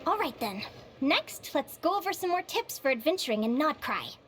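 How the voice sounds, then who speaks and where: Very high-voiced adventuring lecturer. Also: female voice, very animated, moderate pace, fairly bright tone, slight background noise. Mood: instructive. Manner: instructive. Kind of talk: video game character acting.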